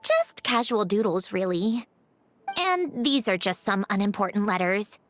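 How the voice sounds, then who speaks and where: A woman's voice: mid-range voice, animated, measured pace, a bit bright, a little hiss or hum. An actress playing a brainy, nonchalant young woman inventor in a video game, speaking in a wry, matter-of-fact, reflective way. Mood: dryly casual. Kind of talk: video game character dialogue. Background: background music.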